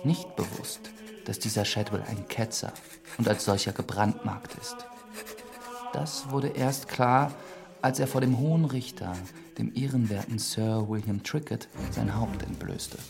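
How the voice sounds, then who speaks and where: An actor playing an earnest, deferential priest who narrates a formal letter in a radio drama. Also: male voice, fairly low pitch, vocal fry, music in the background. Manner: formal, measured, humble.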